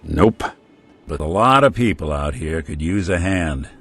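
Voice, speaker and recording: An actor playing a world-weary male synth detective in a game, talking with a gruff, laconic noir drawl. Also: a bass voice, animated, unhurried, fairly bright tone, faint music behind, heavily acted. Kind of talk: game companion dialogue.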